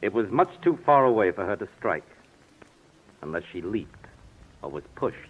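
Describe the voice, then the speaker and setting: An actor in an old radio drama playing an insistent, suspicious detective who speaks pointedly and deliberately. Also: male voice, fairly low pitch, sing-song, a little hiss or hum. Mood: accusing. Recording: muffled old radio recording.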